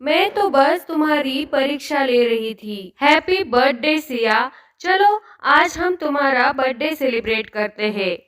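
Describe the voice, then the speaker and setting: A woman voicing a wise female fairy in a storybook cartoon, speaking in a measured, moralizing way. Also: high voice, expressive, fairly bright tone. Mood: kindly approving. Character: gentle, wise female fairy who tests and judges girls.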